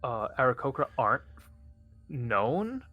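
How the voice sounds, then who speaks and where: A man at a tabletop game, describing his character in a halting way, often restarting his words. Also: mid-range voice, very animated, dry recording, muffled recording, faint music behind. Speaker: player. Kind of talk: casual chat.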